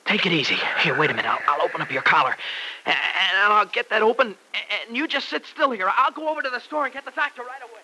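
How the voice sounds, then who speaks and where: An actor playing an earnest, excitable young husband and devoted son-in-law in an old radio serial. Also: male voice, sits high, fast, slight background noise, heavily acted, poor audio. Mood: alarmed. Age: young adult. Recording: vintage radio recording.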